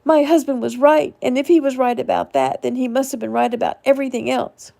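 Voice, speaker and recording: A woman's voice: sits high, fairly even intonation, brisk, vocal fry, dark tone, voice pushed up. Woman narrator as distraught timid woman, raised voice, tearful stammer, self-blaming, storybook drama. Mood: anguished, self-blaming. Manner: stammering, tearful, repeating words.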